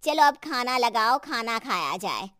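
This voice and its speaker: A kindly, indulgent elderly mother-in-law from a cartoon, played by a woman. She is soothing and accommodating, with a fairly high voice and dry sound. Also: sing-song, unhurried, a bit bright, no room sound. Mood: obliging.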